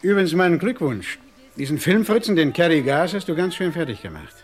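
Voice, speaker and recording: Sneering gangster boss, very animated. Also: male voice, on the high side, brisk, faint music behind. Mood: threatening, contemptuous. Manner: mock-friendly, condescending, threatening. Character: menacing, sneering gangster boss owed money. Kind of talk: German crime radio play acting.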